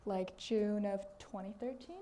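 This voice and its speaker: A teenage student whose first language is Chinese, giving an earnest, slightly halting research presentation to a group. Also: female voice, mid-range voice, warm tone, slight background noise. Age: teens.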